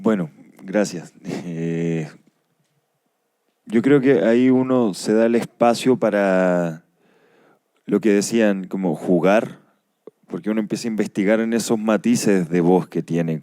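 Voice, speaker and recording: A man's voice: low-pitched, dark tone, clean tone, no echo, studio quality. A man, an actor explaining his craft conversationally. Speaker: radio-play actor answering questions.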